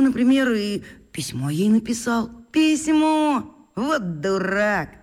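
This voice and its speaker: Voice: medium pitch, natural voice. A performer voicing a shy, curious schoolboy who doubts himself, in a children's radio drama. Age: child character. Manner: hesitant, musing, arguing with himself.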